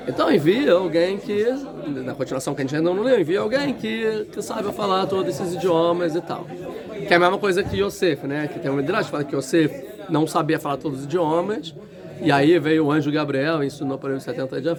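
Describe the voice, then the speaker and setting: A man with a fairly high voice teaching a class in a large room. He talks casually and thinks aloud, often breaking off and restarting his sentences. Students can be heard clearly around him. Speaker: Torah teacher. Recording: room with crowd noise.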